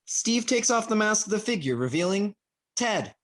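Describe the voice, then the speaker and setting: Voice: medium pitch, sing-song, moderate pace, fairly bright tone. A narrator reading stage directions in a plain scripted style for a play.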